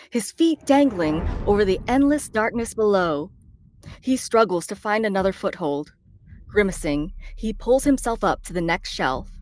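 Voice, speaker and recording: A woman's voice: mid-range voice, a little mellow, no echo. A woman narrator reading screenplay stage directions as dramatic scripted narration. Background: faint background music.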